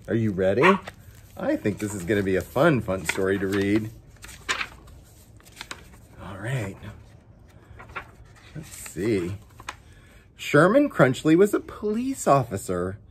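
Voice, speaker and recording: A man's voice: mid-range voice, slight background noise. A man reading a picture book aloud to children, playfully coaxing them and chatting directly to them between passages. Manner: playful, coaxing, talks directly to young viewers. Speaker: story time host reading to children.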